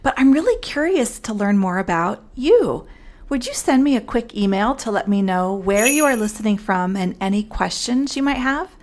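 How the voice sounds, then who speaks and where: Mid-pitched woman hosting a podcast. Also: expressive, moderate pace, darkish tone. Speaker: podcast host. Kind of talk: scripted podcast introduction, direct address.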